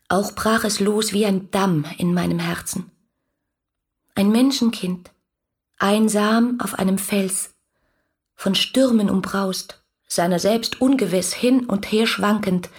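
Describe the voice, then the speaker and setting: An actress playing an ardent, dreamy young woman in a German radio play, effusive and lyrical in a breathy voice. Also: mid-range voice, a little mellow. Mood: rapturous longing. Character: ardent, dreamy young woman writing rapturous letters about her idol. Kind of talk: German radio play, literary letter monologue.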